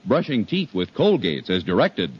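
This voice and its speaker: A man's voice: on the low side, huge pitch swings, moderate pace, breathy edge. A man announcing a scripted, emphatic 1950 radio advertisement. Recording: muffled vintage 1950 radio.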